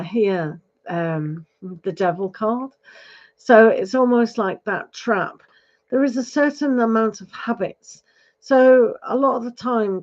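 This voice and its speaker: A woman giving a live tarot reading, advising gently with many fillers, her voice going hoarse. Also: mid-range voice, dull audio. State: losing her voice, clearing throat. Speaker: tarot reader.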